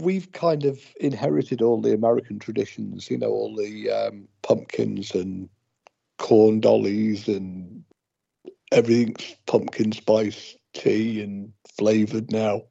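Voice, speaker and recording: British guy just chatting, dark gravelly voice, lo-fi sound. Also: on the low side, fairly even intonation, unhurried. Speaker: podcast co-host. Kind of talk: casual chat. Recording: dull lo-fi call audio.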